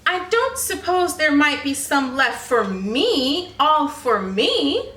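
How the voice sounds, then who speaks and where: A woman acting a greedy excitable treasure hunter, high animated voice, exclaiming, storybook style. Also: slow pace, roomy sound, distant mic, full character voice. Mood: wheedling. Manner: exclaiming, questioning, incredulous.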